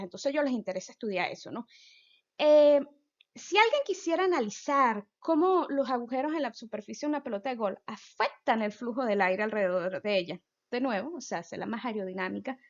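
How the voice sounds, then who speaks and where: A woman's voice: fairly high pitch, dull audio. Chatty Venezuelan woman explaining science. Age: 30s. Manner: chatty, addresses listener directly. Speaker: physicist giving an outreach talk.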